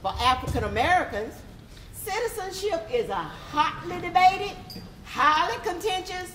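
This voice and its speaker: A woman giving a deliberate, emphatic dramatic reading. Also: extremely high pitch, lively intonation, sharp tone, a little hiss or hum. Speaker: readers theatre performer. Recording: echoey room with crowd.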